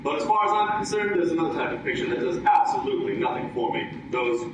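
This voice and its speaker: A man's voice: on the high side, lively intonation, quick pace, distant mic, background noise, natural voice. A man playing an eager, girl-crazy young sidekick in an old-time radio comedy, boastful and punning. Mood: eager, joking. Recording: echoey live stage, music behind.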